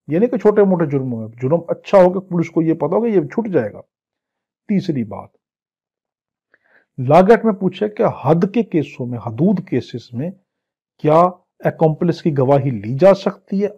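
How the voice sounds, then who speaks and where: A man's voice: on the high side, dark tone, slightly rough. A man with a Pakistani accent giving an exam-prep law lecture, reading clauses aloud, explaining them and answering his own questions. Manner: didactic, posing questions and answering them himself. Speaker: law teacher. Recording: dull, lo-fi lecture audio.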